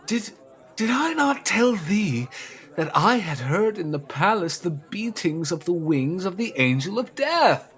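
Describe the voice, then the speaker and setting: An actor playing a stern, doom-laden prophet, solemn and declamatory, in a theatrical audio drama. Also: male voice, high-pitched, sing-song, unhurried, a bit bright, full character voice. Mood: foreboding.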